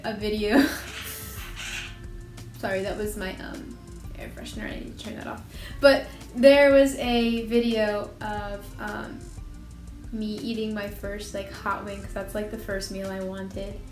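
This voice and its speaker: A woman's voice: medium pitch, flat delivery, takes it slowly. Bubbly young woman in an echoey room. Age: young adult. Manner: bubbly, chatty, giggly. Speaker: host. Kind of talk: casual vlog chat. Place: home room. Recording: echoey room with faint music.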